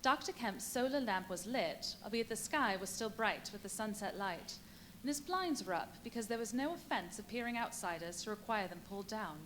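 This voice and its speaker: A woman reading scripted narration for a live radio play in a measured, descriptive manner. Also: mid-range voice, lively intonation, fairly bright tone, close to an everyday voice. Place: live stage performance in a brewery venue. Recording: noisy live venue recording.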